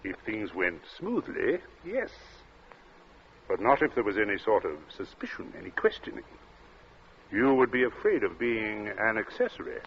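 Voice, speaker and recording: A suave, menacing captain, swooping intonation. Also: male voice, lowish voice, very animated. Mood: calmly calculating. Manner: suave, measured, hesitant asides, polite menace. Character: cold, urbane male captain coolly menacing a guest. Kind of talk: old-time radio thriller acting. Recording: dull, noisy vintage radio recording.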